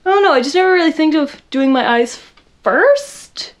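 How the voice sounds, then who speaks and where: A young woman with a loud voice chatting casually in her bedroom while doing a makeup tutorial, rambling and poking fun at herself. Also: high-pitched, animated, normal speed. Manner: chatty, rambling, self-deprecating, talks to viewers while working. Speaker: makeup vlogger.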